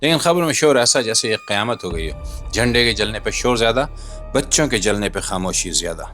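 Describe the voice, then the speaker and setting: Low-voiced man narrating over music. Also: lively intonation, a little fast, fairly bright tone. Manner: dramatic, rhetorical, emphatic delivery with pointed questions. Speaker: video commentator and narrator. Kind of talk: scripted political commentary voice-over. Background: music bed.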